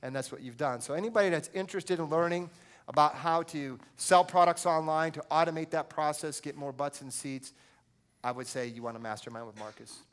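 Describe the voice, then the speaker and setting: A man's voice: a little high, brisk, clear, resonant tone. A man hosting a live event, introducing speakers in an informal way from the stage.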